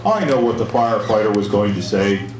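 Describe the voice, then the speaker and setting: Someone performing an animated puppet presenter, roomy and distant. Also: mid-range voice, brisk, natural voice. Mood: reassuring. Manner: exaggerated, emphatic children's show delivery. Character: Fred, eager, chatty puppet show presenter. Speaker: fire safety puppet show performer. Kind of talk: live children's puppet show presenting. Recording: roomy, distant, noisy live show recording.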